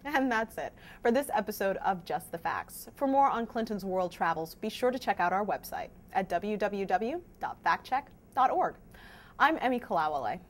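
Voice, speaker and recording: A woman's voice: medium pitch, animated, moderate pace. A woman hosting a scripted news explainer, presenting to viewers in an emphatic, wry way. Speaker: host of a fact-checking news vidcast.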